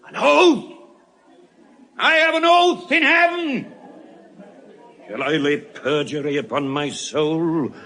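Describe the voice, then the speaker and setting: An actor playing a vengeful, stubborn moneylender in an audio Shakespeare drama, theatrical and emphatic, moving from hushed menace to crying out. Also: male voice, on the high side, slow pace, long pauses, full character voice. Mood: fierce, unyielding. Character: vengeful, stubborn moneylender, middle-aged or older man. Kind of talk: classical stage acting in audio Shakespeare.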